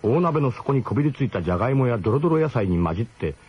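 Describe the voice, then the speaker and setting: A man's voice: mid-range voice, big pitch swings, rapid-fire, darkish tone. A man narrating a comic radio drama as scripted storytelling, with a mock-solemn, literary delivery. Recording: muffled 1980s radio broadcast, faint noise.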